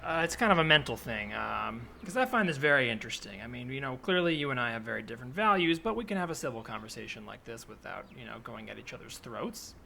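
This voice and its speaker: A man acts out an earnest, self-serious militia man who debates with probing, hesitant politeness. He talks conversationally in an improvised comedy scene, pushing his views in a mid-pitched voice. Clear ambient noise runs behind him. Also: big pitch swings, fast, few pauses, sharp tone, heavily acted. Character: earnest, provocative right-wing militia man, self-styled historian. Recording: clearly noisy, added ambient soundscape. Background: ambient sound effects.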